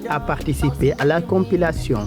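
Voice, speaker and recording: A man's voice: a little high, a little fast. A man reading scripted narration for a television news report. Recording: music bed under voice-over. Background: music.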